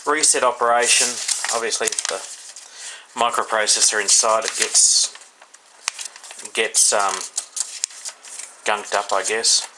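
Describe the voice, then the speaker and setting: A man with an Australian accent giving a casual, unscripted hands-on product review, haltingly thinking aloud. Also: on the low side, fairly even intonation. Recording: faint background noise.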